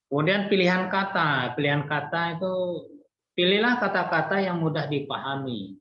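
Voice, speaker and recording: A man explains points of a lecture in an easygoing, conversational manner, pausing and restarting his sentences often. His voice sits at a middle pitch. The recording sounds roomy and somewhat muffled. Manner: relaxed, conversational explaining with many fillers and restarts. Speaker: nursing lecturer. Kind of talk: online lecture.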